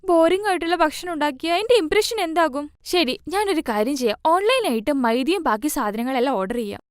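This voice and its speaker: A woman's voice: high-pitched, lively intonation, a little fast, dry recording, clean studio sound. A woman voicing a stubborn young daughter in a cartoon story, girlish, insistent and pleading, with a light voice. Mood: fretful, pleading.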